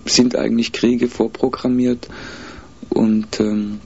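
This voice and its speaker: A man answering interview questions in a measured, hesitant way, with frequent pauses and fillers as he thinks aloud. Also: medium pitch, flat delivery, creaky, warm tone, breathy edge. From mixed German, Spanish, Italian and Peruvian roots. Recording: dull lo-fi recording.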